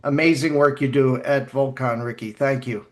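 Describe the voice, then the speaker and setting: A man's voice: medium pitch, steady tone, moderate pace. A man hosting a podcast casually, introducing guests with enthusiasm and appreciation. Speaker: podcast host.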